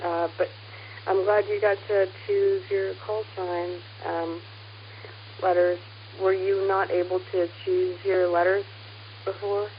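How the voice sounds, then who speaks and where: A woman's voice: mid-range voice, little pitch movement, slow pace. A woman with an American accent chatting casually over amateur radio, rambling hesitantly as she thinks aloud. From United States. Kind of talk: casual radio contact chat. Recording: muffled, hissy ham radio transmission. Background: radio hiss.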